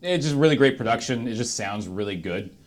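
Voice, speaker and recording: Guy hosting a casual chat, average pitch, kinda halting, thinks out loud. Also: lively intonation, soft background music. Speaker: host of a charity stream panel.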